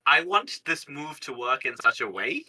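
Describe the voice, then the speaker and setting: A man's voice: medium pitch, very animated, normal speed, bright, forceful tone, rough recording. A man playing a tabletop game, chatting casually and thinking aloud as he builds the story.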